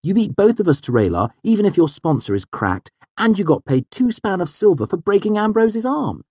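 A man's voice: high voice, big pitch swings, a little fast, darkish tone, breathy edge, no echo, voice pushed up, heavily acted. A man voicing an honest, good-natured young male student in an audiobook, emphatic, earnest and easily stirred up. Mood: chiding. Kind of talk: audiobook character voice.

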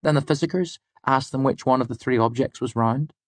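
Audiobook role: clever young woman student, read by a man, raised pitch, measured, insistent explainer. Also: no echo, close to an everyday voice. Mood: earnest, insistent. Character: clever, determined female student, insistent storyteller. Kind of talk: audiobook character dialogue.

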